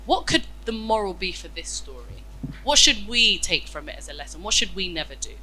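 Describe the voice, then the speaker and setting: A woman with a stuffy nose from a cold teaches a group of children, asking questions and praising every answer. Her tone is bright and encouraging. A faint background noise sits behind her voice. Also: medium pitch, sing-song, brisk, low-quality recording. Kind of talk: interactive lesson with children.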